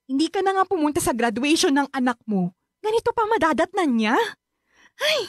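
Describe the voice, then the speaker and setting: A woman's voice: high voice, sing-song, fairly quick, no echo, clean studio sound. A woman acting a protective, caring Filipino aunt in a radio drama, earnest and emotional. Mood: exasperated scolding. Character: protective, caring aunt, exasperated with her drunken sister.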